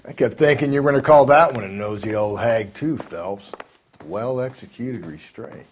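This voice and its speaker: Actor as a sardonic cop, mid-pitched. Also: male voice, normal speed, a little hiss or hum, natural voice. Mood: wry needling. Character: sardonic male police partner, needling and wry. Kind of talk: video game voice acting.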